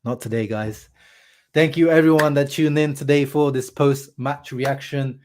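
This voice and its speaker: A man's voice: medium pitch, moderate pace. A young man with a sore throat hosting a live football reaction stream, chatting casually and answering viewers' comments. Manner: animated, chatty, says "bro" and "like" a lot. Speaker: football fan channel host. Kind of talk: live-stream match reaction, reading chat.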